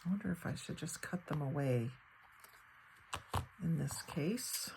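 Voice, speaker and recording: A woman with a slightly hoarse, crackly voice, thinking aloud in a casual crafting tutorial at her table. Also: sits low, lively intonation. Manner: thinks aloud while working, unhurried and chatty. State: slightly hoarse, fighting off a cold. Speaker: craft channel host.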